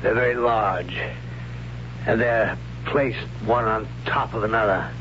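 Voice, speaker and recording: A hushed, awestruck young wanderer. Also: male voice, lowish voice. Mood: awed. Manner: hesitant, halting, hushed. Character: awed young man who visited a haunted ruin. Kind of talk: 1970s radio drama acting. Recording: dull lo-fi old radio broadcast with hiss.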